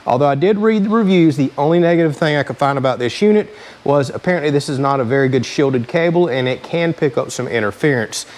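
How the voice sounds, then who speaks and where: Male DIY host, voice a little on the high side, casual and explanatory, plain-spoken tutorial delivery. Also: fast talker, darkish tone. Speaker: host of a DIY vehicle channel. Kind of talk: casual hands-on tutorial narration.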